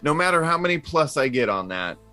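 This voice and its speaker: A man's voice: on the high side, sing-song, fairly quick, loud music behind the voice. A man playing a tabletop role-playing game, chatting casually and thinking aloud as he describes his moves. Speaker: player in a tabletop RPG. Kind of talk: casual improvised game chat.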